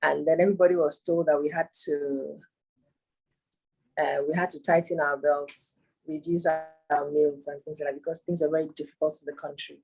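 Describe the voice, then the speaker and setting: A Nigerian woman in her thirties, a poet and author, recalling her childhood over a video call, pausing to search for words. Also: deep voice, level tone, vocal fry, darkish tone, husky, rough recording. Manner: thoughtful, searching for words with frequent ums. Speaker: interviewee, performance poet and author. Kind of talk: remote interview, casual reminiscing.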